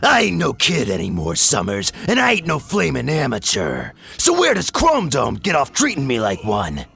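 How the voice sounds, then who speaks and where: A man playing a gruff, rebellious mutant fighter in an audio drama, growling slangy defiance in a gravelly voice. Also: mid-range voice, huge pitch swings, normal speed, fairly bright tone, soft background music, full character voice. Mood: angry, defiant.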